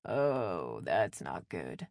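A woman's voice: sits low, animated, a little fast, no room sound, studio quality, voice pushed down. A woman voicing a fourteen-year-old son in an audiobook, sheepish and grumbling in casual protest. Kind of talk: audiobook character dialogue.

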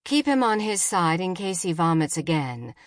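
Woman reading as a calm, flat-toned female dispatcher. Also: fairly low pitch, moderate pace, no echo, studio quality. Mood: steady. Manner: flat, steady, procedural. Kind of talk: audiobook character dialogue.

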